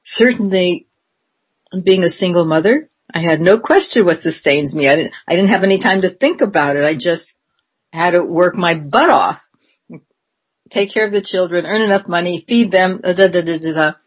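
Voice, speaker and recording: A woman in her seventies with a somewhat low, husky voice. She talks in a meandering, reflective way, circling back on her thoughts with ums and restarts. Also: lively intonation, normal speed. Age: 70s. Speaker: interviewee. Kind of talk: reflective conversational interview.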